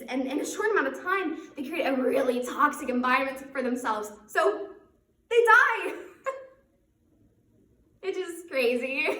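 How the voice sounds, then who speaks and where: A woman performing a theatrical monologue as a flustered, guilt-ridden young woman who apologizes in a halting, nervously rambling way. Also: sits high, bright, forceful tone, exaggerated voice. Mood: nervous, upset. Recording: roomy echo, distant microphone.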